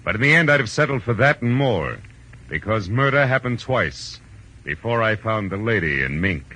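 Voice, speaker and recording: An actor playing a world-weary, wry private detective with a laconic manner, in 1940s radio drama style on an old recording. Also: male voice, lowish voice, sing-song, normal speed, sharp tone, a little hiss or hum, poor audio. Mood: brooding, wry. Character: world-weary male private detective, gruff but kindly. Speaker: narrator and lead character. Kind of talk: 1940s radio acting, hardboiled first-person narration.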